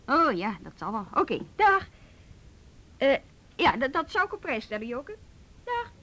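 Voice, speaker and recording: Brisk, high-voiced policewoman in charge. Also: very animated, bright, forceful tone, full character voice. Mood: businesslike. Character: brisk, firm but kindly female police officer. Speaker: superior at work. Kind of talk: radio play acting.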